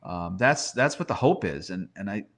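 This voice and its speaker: A man's voice: fairly low pitch, lively intonation, normal speed, a bit bright. A man giving conversational sports commentary as a guest analyst, using rhetorical questions and emphatic repetition.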